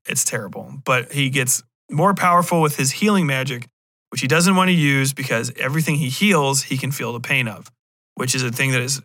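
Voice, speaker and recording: A man's voice: mid-range voice, bright, forceful tone, no room sound. A man hosting a podcast, giving a casual, rambling review in a profane, sardonic, incredulous manner. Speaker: podcast host.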